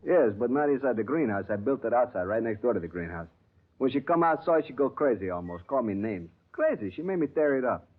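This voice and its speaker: An excitable gossipy gardener. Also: male voice, mid-range voice, brisk. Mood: indignant. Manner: broken English, animated, gossipy. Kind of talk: 1950s radio drama acting. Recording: tinny old radio broadcast.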